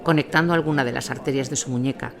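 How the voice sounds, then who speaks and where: A man's voice: medium pitch, fairly even intonation, fast talker, gravelly, a crowd in the background. A man reading scripted documentary narration as the narrator. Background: music under the voice.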